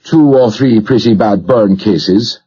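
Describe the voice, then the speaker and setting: Jolly Irish medical officer read by a male narrator, rich brogue, good-humoured and quick, audiobook dialogue, slightly dull sound. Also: fairly low pitch, mellow, slightly rough. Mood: brisk, concerned. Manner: jovial, says "old boy" often. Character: short, chubby, good-humoured Irish doctor, quick and jovial.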